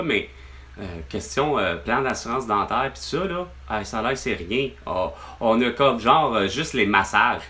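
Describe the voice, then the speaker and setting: A man's voice: mid-range voice, sharp tone. A man with a Québécois accent, venting to his mother on a phone call, rambling and exasperated, swearing often. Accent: Québécois French. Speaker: vlogger.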